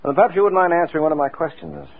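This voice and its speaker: A man's voice: on the high side, racing, close to an everyday voice. A man playing a cool, wisecracking, flirtatious private detective in a 1940s radio drama, with a breezy, sardonic, teasing way of talking. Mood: sly, teasing. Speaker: radio drama lead actor. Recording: tinny, band-limited old radio recording.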